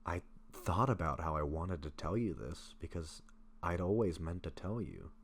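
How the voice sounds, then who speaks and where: A man playing a gentle, affectionate vampire in an intimate roleplay audio drama, speaking in a soft, halting, confiding way. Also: deep voice, breathy edge, full character voice. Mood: confessional, relieved. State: sick, occasional coughing. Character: gentle sick male vampire, affectionate, self-doubting best friend. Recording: faint steady background noise.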